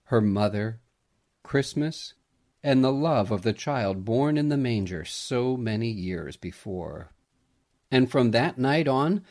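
A man narrating a scripted family story in a gentle, dramatic, sentimental manner. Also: mid-range voice.

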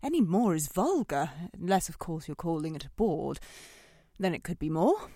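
A woman's voice: on the low side, very animated, moderate pace, warm tone, no echo, studio quality. An actress playing a composed, probing woman who quizzes and judges her date with pointed questions in a naturalistic audio drama.

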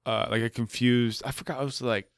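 A man's voice: mid-range voice, level tone, a little fast, smooth, dry recording, clean studio sound. A man riffing in a loose, sweary, jokey casual chat. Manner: riffing, jokey, sweary, uses put-on voices for bits.

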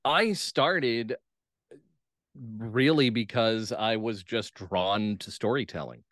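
A man speaking as an interview guest in casual chat, enthusiastic and often checking his points with a quick questioning tag. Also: on the low side, fairly bright tone, no echo, studio quality. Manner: enthusiastic, punctuates points with "right?". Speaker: playwright and actor, podcast guest.

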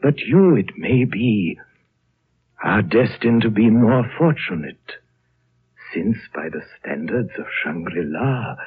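An ancient, solemn, very deep-voiced lama. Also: male voice. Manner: slow, solemn, formal, courtly phrasing. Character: ancient, serene, all-knowing male High Lama of a hidden monastery. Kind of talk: 1930s radio drama acting. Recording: muffled, noisy 1930s radio recording with hum.

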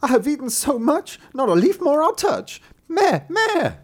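A man's voice: very high-pitched, big pitch swings, unhurried, pitched above the performer's own voice, heavily acted. A man voicing a sly, lying goat from a fairy tale, chanting rhymes and bleating in a singsong way. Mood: sated, smug.

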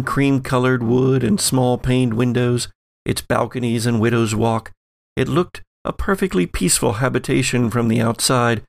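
A man's voice: mid-range voice, creaky, no echo. A man speaking as host and game master of an actual-play podcast. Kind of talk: reading and casual chat on an actual-play podcast.

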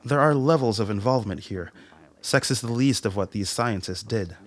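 A man plays an efficient army major in a radio play. His voice is on the low side. He reports in a measured, matter-of-fact manner, composed and sure of himself. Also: natural voice. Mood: measured, confident.

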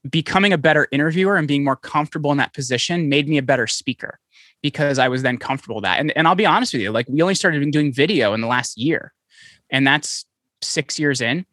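A man being interviewed as a podcast guest, talking in quick, animated stories full of restarts. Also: on the high side, no room sound, clean studio sound. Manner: fast, animated storytelling with many restarts. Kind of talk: conversational interview. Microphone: podcast microphone, remote call.

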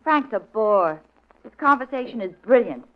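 Lady playing a sassy young secretary in an old radio show, dry teasing, highish animated voice, dull sound. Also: natural voice. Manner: dry, teasing, quick-witted. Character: sardonic, loyal young female detective's secretary. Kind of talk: 1940s-50s radio detective drama acting. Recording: muffled vintage radio recording.

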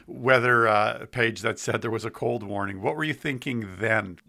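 Gravelly, bright-voiced male host. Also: mid-range voice, normal speed. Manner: easygoing, jokey questions. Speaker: radio host. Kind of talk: casual on-location interview.

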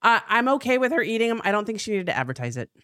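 A woman's voice: a little high, very animated, racing, fairly bright tone, dry recording, clean studio sound. An American woman co-hosting a podcast in casual banter, speaking frankly and with strong opinions, with the odd swear word. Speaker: podcast co-host.

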